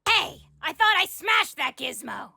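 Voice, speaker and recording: A woman's voice: very high-pitched, very animated, measured pace, vocal fry, sharp tone, exaggerated voice. A woman voicing a brash, taunting young female cartoon creature in a comic dub, cocky and sarcastic, over music. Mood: cocky, mocking. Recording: music under the voice.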